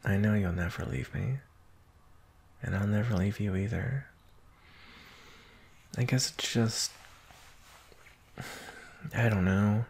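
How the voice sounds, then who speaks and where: Man acting a husky, lovestruck young werewolf. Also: lowish voice, a little mellow, heavily acted. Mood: anxious, wistful. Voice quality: husky, breathy. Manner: hesitant, halting, intimate. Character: anxious lovestruck young male werewolf, devoted and vulnerable. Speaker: roleplay voice actor. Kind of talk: intimate ASMR romantic roleplay.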